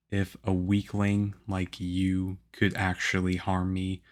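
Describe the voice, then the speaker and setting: Guy doing a stern aloof young demon slayer mentor, anime asmr roleplay, really deep and slow, deadpan. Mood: stern, scolding. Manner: harsh, measured, deadpan, with grudging praise. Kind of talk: ASMR roleplay acting addressed to the listener.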